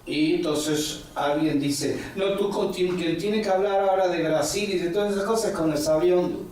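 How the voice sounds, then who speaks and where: A man's voice: high voice, moderate pace, darkish tone, recorded from a distance. A man, answering interview questions in long rambling stories full of quoted talk and "ujú" fillers. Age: 40s. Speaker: interview guest, religious elder. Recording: echoey room, a bit off-mic, faint background.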